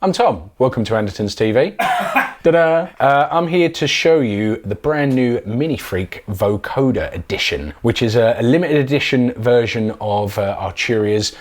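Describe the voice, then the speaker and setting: A man's voice: mid-range voice, lively intonation, moderate pace. A man presenting a casual, chatty product demonstration in an informal way.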